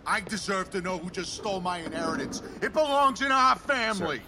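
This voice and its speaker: A man's voice: high-pitched, expressive, a little fast, background noise. An actor playing a hot-headed, obsessive middle-aged father with an American accent in an audio drama. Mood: angry.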